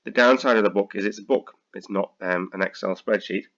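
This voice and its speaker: A British man with a slightly croaky voice, tutoring accounting students through a software lesson, hesitant with many ums and restarts. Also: fairly low pitch, fairly quick, muffled recording. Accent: British English. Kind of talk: screen-recorded software tutorial.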